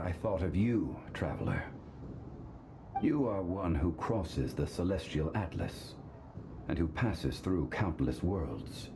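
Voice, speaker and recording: A man voicing an ancient male god in human form, speaking in a calm, measured, solemn and formal way. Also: deep voice, background noise. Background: loud game music.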